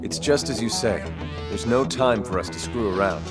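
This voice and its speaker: A man's voice: lowish voice, expressive, moderate pace, lightly acted. A man voicing a serious, persuasive soldier in a video game, plain-spoken, candid and emphatic. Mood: urgent, grave. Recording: loud music behind the voice.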